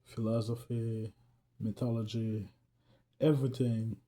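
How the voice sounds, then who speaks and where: Mellow-voiced man with a Haitian accent. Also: medium pitch, level tone, a little slow. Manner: earnest, loose and chatty, laughs often. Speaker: faith vlogger. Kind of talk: casual talk to camera.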